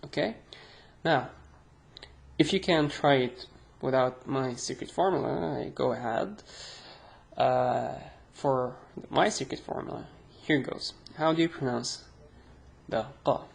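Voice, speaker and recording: A young man with an Arabic accent teaches pronunciation in an unhurried, chatty way. His voice has a gravelly, creaky edge, and he lingers over each sound. He is a native Arabic speaker who pokes gentle fun at himself as he explains. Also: medium pitch. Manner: slow, chatty, self-deprecating, drawn-out sounds. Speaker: language teacher. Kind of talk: casual online language lesson.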